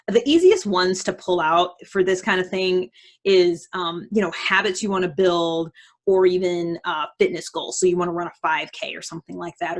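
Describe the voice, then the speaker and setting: A woman's voice: on the low side, creaky. Woman host chatting casually about advice, emphatic, kinda acts out what people tell themselves. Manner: conversational, emphatic, acts out imagined self-talk. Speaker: podcast host giving self-improvement advice. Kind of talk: casual motivational talk.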